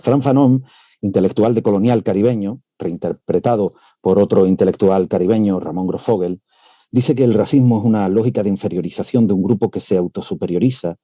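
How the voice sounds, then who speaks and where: Male professor, academic lecture, video call, measured with hesitations, very warm dark voice. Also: lowish voice, husky, no room sound. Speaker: university professor giving a lecture. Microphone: computer mic on a video call.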